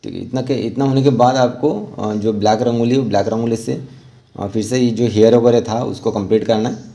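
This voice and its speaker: A man narrating a step-by-step art tutorial as an instructor, informally and haltingly, mixing in English terms. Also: fairly low pitch, fairly even intonation, gravelly, dark tone.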